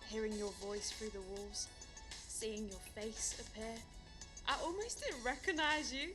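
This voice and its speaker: A woman's voice: on the high side, measured pace, over music, lightly acted. An actress playing a playful, sincere young woman radio co-host, chatting and teasing in an audio drama. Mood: reflective. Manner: teasing, conversational. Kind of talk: audio drama banter between radio co-hosts.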